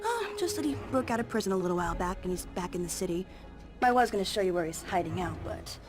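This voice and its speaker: Flirty, lively female cat burglar. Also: on the low side, fast, music in the background. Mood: coaxing. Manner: coy, playful taunting. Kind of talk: video game cutscene acting.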